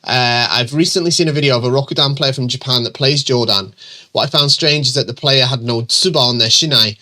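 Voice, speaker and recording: Middle-aged male host with a bunged-up, stuffy voice from a cold; bright, forward tone, casual and rambling. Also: mid-range voice, a little fast. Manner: chatty, many ums and restarts, reads questions aloud. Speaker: host answering viewer questions. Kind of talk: casual chat.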